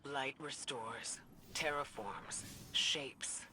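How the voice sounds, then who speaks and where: A man's voice: medium pitch, extremely slow, bright, forceful tone, a little hiss or hum, heavily acted, poor audio. A man playing a focused, scholarly battle ally briefing in a scripted video game. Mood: grave, focused.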